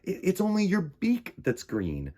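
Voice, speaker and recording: A man voicing a gentle, fatherly penguin in a children's storybook, soothing and encouraging his young son. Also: high-pitched, wildly swooping pitch, unhurried, pitched above the performer's own voice, full character voice.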